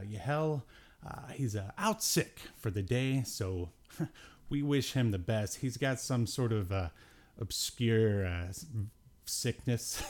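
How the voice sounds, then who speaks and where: Male podcast host, lowish register, informal and jokey, self-deprecating, chatting solo to an online audience. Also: darkish tone. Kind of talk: casual solo show commentary.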